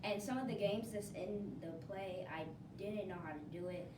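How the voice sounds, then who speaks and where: A woman's voice: medium pitch, moderate pace. A woman new to acting, introducing herself informally as a member of a cast. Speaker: first-time amateur stage actor. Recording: echoey noisy room, distant mic.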